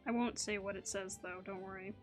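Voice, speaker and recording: A woman's voice: mid-range voice, fairly even intonation, brisk, over music. A woman hosting a gaming video, giving casual, musing commentary that wanders off on tangents. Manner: musing, digressive. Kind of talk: casual chatty playthrough commentary.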